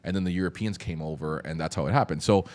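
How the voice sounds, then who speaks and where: A man's voice: low-pitched, fast talker, a little mellow. A man in his thirties from Miami with a Cuban-tinged Miami accent, hosting a casual podcast chat in a loose, slangy way. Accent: Miami Cuban-influenced English. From Miami, USA. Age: 30s. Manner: loose, slangy, lots of fillers.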